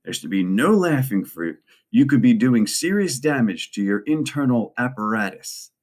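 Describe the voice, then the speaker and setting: A man's voice: medium pitch, very animated, moderate pace, darkish tone, voice pushed up. A man voicing a very stern, intimidating nurse who scolds and threatens in a storybook reading. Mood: stern, scolding. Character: very stern, frightening country nurse, adult woman.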